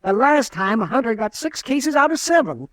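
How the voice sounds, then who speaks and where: An actor playing a folksy, chuckling old frontier doctor in a 1950s radio drama. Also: male voice, very high voice, big pitch swings, normal speed, fairly bright tone, no echo, clean studio sound, full character voice. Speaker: town doctor.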